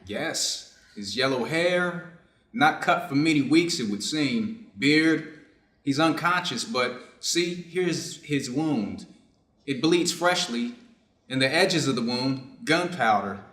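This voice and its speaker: A middle-aged Japanese surgeon, read by a man in a staged story. He speaks formally and deliberately, reflective and clinical yet conflicted. The room adds a clear echo around the voice. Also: medium pitch, lively intonation, a bit bright. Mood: clinical concern. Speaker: reader's theater performer. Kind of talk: staged reading of a short story, measured delivery. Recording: echoey room.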